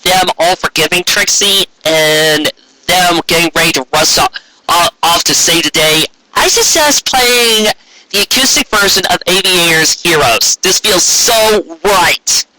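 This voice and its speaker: A man reading a story aloud in an expressive live style, with a casual way of talking. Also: high voice, measured pace, poor audio. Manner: expressive, acting out character dialogue. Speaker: reader of fan fiction.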